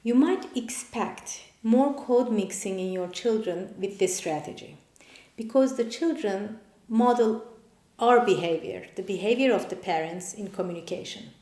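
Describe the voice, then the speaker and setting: Measured woman explaining in a roomy echo. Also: mid-range voice, dark tone. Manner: measured, explanatory, listing points one by one. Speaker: parent and channel host. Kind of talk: scripted explainer. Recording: roomy echoey room.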